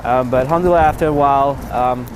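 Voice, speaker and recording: Relaxed young man telling his story. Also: medium pitch, noisy background. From Indonesia. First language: Sundanese. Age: 20s. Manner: relaxed, frequent filler pauses. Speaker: interviewee. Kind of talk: casual personal storytelling.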